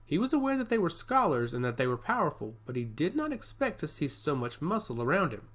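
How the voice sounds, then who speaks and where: Man narrating fantasy, lively swooping pitch. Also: brisk. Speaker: audiobook narrator. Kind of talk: scripted fantasy story narration.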